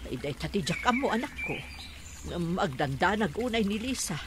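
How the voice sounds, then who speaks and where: A woman playing a caring village mother in a radio drama, steady and reassuring. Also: mid-range voice, normal speed, lightly acted. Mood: weary, concerned. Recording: noisy animal and water sound effects.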